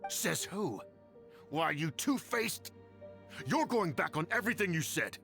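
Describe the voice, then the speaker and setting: A man's voice: a little high, sing-song, a little slow, creaky, pushed and bright, heavily acted. A man acting as a scheming, fawning clan nobleman in a video game, evasive and obsequious. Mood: indignant. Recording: music behind the voice.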